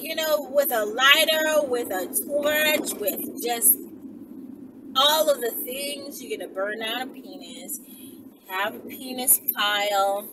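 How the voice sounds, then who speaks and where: A woman with a fairly high voice talks casually to her followers, rambling through instructions in slangy language. Her manner is playful and bossy. The recording is clearly noisy, with vehicle ambience and the microphone some way off. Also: distant mic. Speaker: host addressing followers. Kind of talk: casual, rambling monologue giving instructions to listeners.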